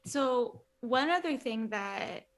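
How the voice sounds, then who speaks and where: A woman's voice: a little high, animated, slow, creaky. A young woman from the United States who grew up speaking Romanian, hosting a chatty interview on a headset from a small room, with many um fillers. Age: young adult. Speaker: host. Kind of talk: casual video-call interview.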